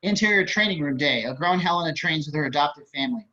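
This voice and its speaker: Bright, higher-voiced man reading stage directions. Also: lively intonation, fast talker. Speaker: table-read narrator. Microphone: video call. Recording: slightly dull video-call audio.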